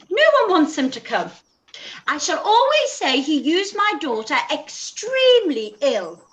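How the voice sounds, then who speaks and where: A woman's voice: high-pitched, sharp tone, exaggerated voice, low-quality recording. A woman playing a fussy, dramatic middle-aged mother in a live-read radio play, gushing and complaining.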